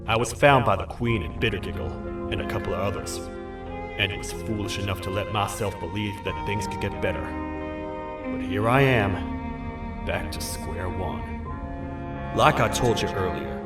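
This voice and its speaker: A man plays a low-voiced cartoon creature lawman, cocky yet world-weary. He drawls old-time slang with self-assurance. The game recording is echoey and distant, under loud music. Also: natural voice. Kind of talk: video game character dialogue.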